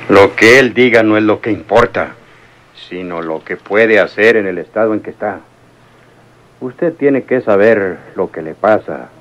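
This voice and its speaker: A cold crime boss with a low, steady voice gives orders without ever raising it, calm and menacing. It is old-time radio drama acting, clipped and controlled. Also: male voice, unhurried, dull audio.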